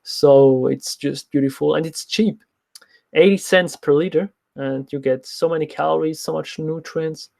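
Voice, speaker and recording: Young male livestream coach, non-native English speaker, slightly high voice, informal Q&A delivery. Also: fairly even intonation, unhurried, creaky, a little mellow. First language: not English, speaks French as a second language. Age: young adult. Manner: informal, reads out questions then answers. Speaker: nutrition coach, livestream host. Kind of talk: casual livestream Q&A, answering viewer questions.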